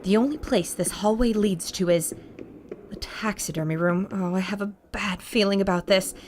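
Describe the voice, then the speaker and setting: A woman's voice: mid-range voice, moderate pace, darkish tone, a little hiss or hum, close to an everyday voice. A woman playing a bold, plucky adventurer in a radio drama, earnest and assertive. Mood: tense, determined.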